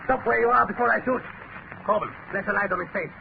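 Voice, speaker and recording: An actor playing a ruthless, domineering spy boss, curt and imperious, in a 1930s radio drama. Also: male voice, sits high, quick pace, vocal fry. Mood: suspicious. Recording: noisy, tinny 1930s radio recording.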